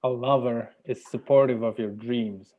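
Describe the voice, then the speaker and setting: A man's voice: medium pitch, fairly even intonation, moderate pace. A man making brief contributions to a group exercise, heard through muffled video call audio. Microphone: video call microphone.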